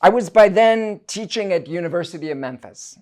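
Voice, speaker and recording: A middle-aged man from South Africa, a university professor delivering a prepared lecture in a measured, deliberate, scholarly way. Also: high voice, animated, a little mellow. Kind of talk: prepared academic lecture, read aloud.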